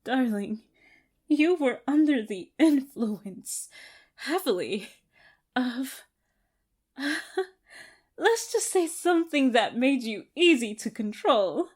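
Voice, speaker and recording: Casting: actress as obsessive female captor for ASMR roleplay. High voice, slow theatrical delivery, gloating and unhinged. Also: lively intonation, darkish tone, exaggerated voice. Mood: gloating, sweet. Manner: deliberate, theatrical, broken by giggles.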